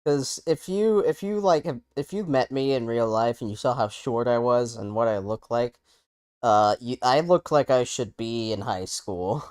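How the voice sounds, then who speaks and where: A man's voice: medium pitch, normal speed, darkish tone, clean tone. A man in his twenties hosting a casual podcast, teasing his friends with many fillers. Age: 20s. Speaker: podcast host. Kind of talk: casual chat.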